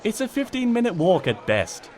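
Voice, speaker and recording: A man's voice: high-pitched, huge pitch swings, normal speed, darkish tone, pitched above the performer's own voice. A man voicing a flustered, indignant young female cartoon pony who is easily exasperated. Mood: defiant. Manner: stammering and muttering, snapping back at a narrator.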